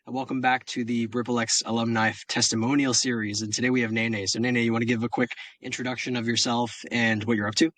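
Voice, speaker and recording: A man's voice: medium pitch, flat delivery, fast, few pauses, fairly bright tone, no room sound. A young man who founded the program hosts the interview in a friendly, casual way, saying "awesome" a lot and often restarting words.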